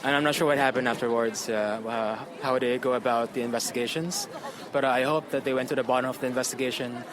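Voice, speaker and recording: A man's voice: medium pitch, steady tone, fast, a crowd in the background. A man from New York, answering a street interview in a sympathetic, hesitant way. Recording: distant street recording with traffic.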